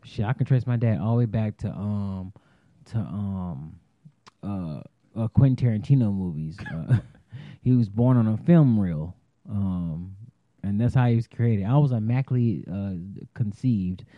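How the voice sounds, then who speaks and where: A man's voice: on the low side, a little slow, dry recording. A man co-hosting a casual podcast chat, joking around in a loose, profane way, streamed live from a phone. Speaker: podcast co-host. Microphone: phone livestream. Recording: dry tinny phone-like sound.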